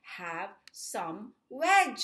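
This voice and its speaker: A woman's voice: mid-range voice, very animated, extremely slow, a bit bright, pitched above the performer's own voice, exaggerated voice. Woman putting on a duck-billed dinosaur, storybook, friendly, cheerful, gleeful hooting chant, bouncy melody, stretched words.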